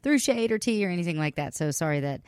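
A woman's voice: on the low side, animated, fast, darkish tone, clean tone, no room sound. A woman hosting a podcast in casual, chatty talk, teasing and giggling often. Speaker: podcast host.

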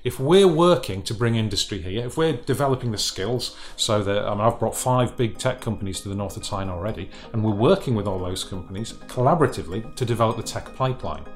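A man's voice: fairly low pitch, animated, fast talker, hardly any pauses, faint music behind. A man with a Northeastern English accent, a regional mayor making his case in a panel discussion.

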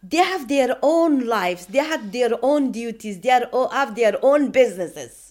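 A woman, the host's mother, chiming in lively during a family chat. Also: on the high side, measured pace. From Afghanistan.

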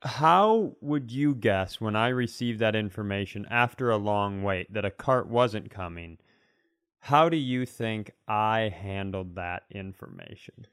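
A man co-hosting a comedy podcast, chatting casually and telling rambling, animated stories with self-aware rants. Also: fairly low pitch, a little slow, smooth, no room sound, studio quality.

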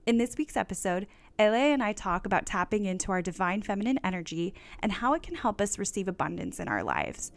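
A woman's voice: medium pitch, big pitch swings, fairly quick. A woman hosting a wellness podcast, speaking in an enthusiastic, upbeat way. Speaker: podcast host. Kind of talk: podcast interview host.

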